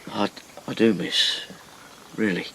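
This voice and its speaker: An actor playing a shy, rough, working-class boatman who stammers and speaks deferentially. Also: on the low side, steady tone, slow, fairly bright tone, slight background noise, full character voice. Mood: bashful. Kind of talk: radio drama acting.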